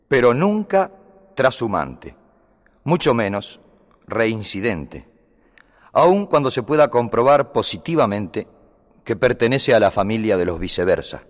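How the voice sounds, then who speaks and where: A man reciting humorous poetic prose in a measured, deliberate way, with dramatic emphasis. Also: medium pitch. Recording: long reverberant hall sound.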